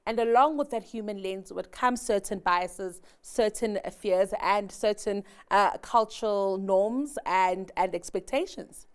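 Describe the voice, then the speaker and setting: A woman's voice: medium pitch, measured pace, few pauses, clear, resonant tone. A woman interviewing a guest on a business TV channel, framing long thoughtful questions with some hesitation.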